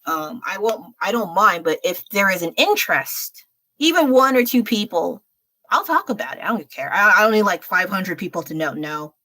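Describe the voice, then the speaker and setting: A woman's voice: mid-range voice, very animated, moderate pace, bright, forceful tone, rough recording. A young American woman chatting frankly and thinking aloud during a late-night livestream, laughing often. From United States. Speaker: livestreaming artist. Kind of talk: rambling livestream chat while painting. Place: home at night.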